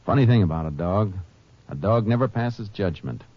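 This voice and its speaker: A laconic Texas Ranger, low-voiced. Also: male voice, normal speed. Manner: laconic and even-tempered. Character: calm, steady male Texas Ranger lawman. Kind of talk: 1950s radio drama acting and narration. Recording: noisy, dull old radio transcription.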